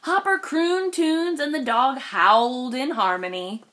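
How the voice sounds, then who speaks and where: A woman reading a children's picture book aloud in an expressive storytelling style. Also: high voice, measured pace. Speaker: read-aloud host.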